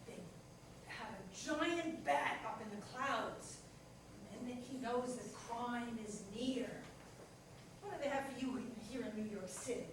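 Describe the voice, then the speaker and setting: A woman's voice: high voice, distant mic. A woman playing a practical, nagging companion to a superhero, dry and scolding, in a staged comedy play reading. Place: echoey room at a live staged reading. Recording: very echoey hall from afar, clear noise.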